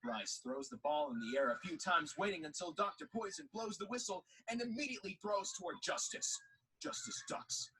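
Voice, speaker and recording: A man's voice: high voice, huge pitch swings, fairly quick, bright, forceful tone, husky, poor audio. A man reading scripted story narration for an audio drama. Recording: faint background noise.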